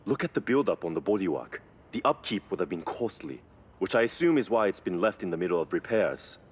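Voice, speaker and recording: An actor playing an earnest, admiring car mechanic in a video game. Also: male voice, deep voice, expressive, fairly quick, husky. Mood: admiring, thoughtful. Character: Yuji, earnest, reverent male car mechanic. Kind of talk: video game cutscene voice acting.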